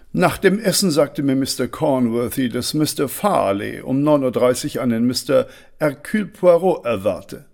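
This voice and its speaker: An actor playing a formal, deferential butler in a German radio crime play, answering in a measured, stiff and precise way. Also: male voice, mid-range voice, animated, vocal fry, darkish tone. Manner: measured, servile, correct.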